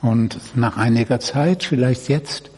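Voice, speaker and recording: A man guiding a body-awareness meditation, giving gentle instructions in short phrases with long pauses. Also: medium pitch. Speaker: meditation guide. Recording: echoey hall, distant mic, noisy.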